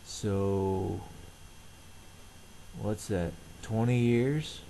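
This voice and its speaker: A middle-aged man casually narrating everyday tasks in a halting, rambling, plain-spoken way. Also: fairly low pitch, vocal fry, warm tone, a little hiss or hum, low-quality recording. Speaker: vlogger.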